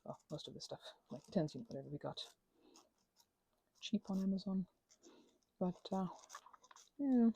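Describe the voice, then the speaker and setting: A woman host chatting casually in a meandering, self-deprecating ASMR craft ramble, with a creaky voice, often trailing off mid-thought. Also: mid-range voice, lively intonation, normal speed, long pauses, warm tone, dry recording.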